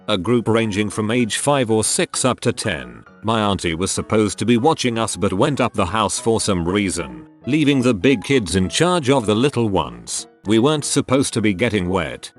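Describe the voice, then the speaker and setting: Lively low-voiced man reading stories. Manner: expressive reading with wry deadpan asides. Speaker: narrator. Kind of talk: reading online stories aloud.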